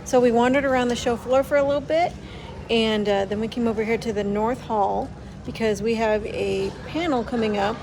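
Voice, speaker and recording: A woman's voice: a little high, fairly even intonation, moderate pace. A middle-aged woman chatting casually as co-host of a vlog, giving walkaround commentary. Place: busy indoor convention center. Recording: music and loud crowd noise behind. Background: music and crowd chatter.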